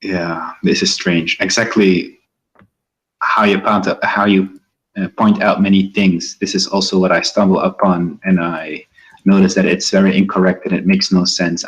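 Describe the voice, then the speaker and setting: A man's voice: sits low, muffled recording. A man from Morocco calling in, answering hesitantly and agreeing, often searching for words. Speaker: caller. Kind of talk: call-in conversation.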